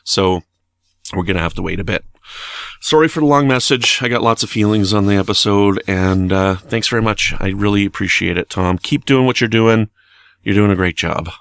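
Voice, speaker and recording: A man's voice: lowish voice, normal speed, gravelly, fairly bright tone, clear, resonant tone, no room sound. Earnest man, very dry, close sound. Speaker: listener leaving feedback message. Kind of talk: informal spoken opinion.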